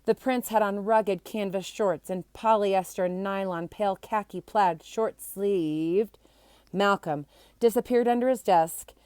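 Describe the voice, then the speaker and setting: A woman reads a children's chapter book aloud at a medium pitch. Her delivery is expressive and animated, made for young listeners, and the sound is dry with almost no room echo. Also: unhurried, clear, resonant tone. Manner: animated, acts out character voices. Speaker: storybook reader.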